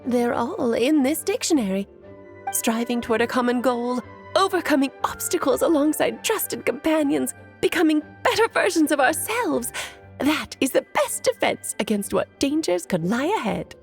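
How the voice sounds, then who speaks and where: Whimsical mage aunt, high lilting voice, slight creak, teasing, breezily self-assured, theatrical video game character. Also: female voice, lively intonation, normal speed, soft background music. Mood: breezy, explaining.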